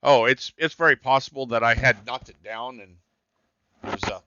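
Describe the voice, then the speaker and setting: A man's voice: medium pitch, normal speed, sharp tone, dry recording, highs cut off. Scratchy-voiced man streaming a game. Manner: muttering while searching through settings. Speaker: gaming streamer. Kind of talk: live game stream, talking to himself.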